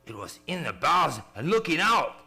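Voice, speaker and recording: Haunted, half-mad sailor, halting and stammering, sharp voice with swooping pitch. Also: male voice, very animated, husky, soft background music, full character voice. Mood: fearful, obsessed. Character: terrified ship's mate sliding into raving madness. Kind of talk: old-time radio play acting.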